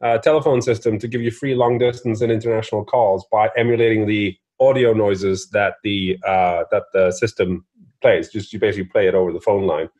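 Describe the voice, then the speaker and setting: Male interview guest, forties, from Norway. Casual, rambling and self-deprecating, with a lowish, husky voice. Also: fairly even intonation, fairly quick, a little mellow. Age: 40s. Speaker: interview guest, startup investor.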